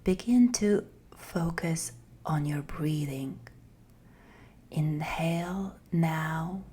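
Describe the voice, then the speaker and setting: A woman guiding a meditation in a soft, breathy, half-whispered voice, gentle and soothing with long pauses. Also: low voice. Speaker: meditation guide. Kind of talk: guided meditation, slow scripted narration.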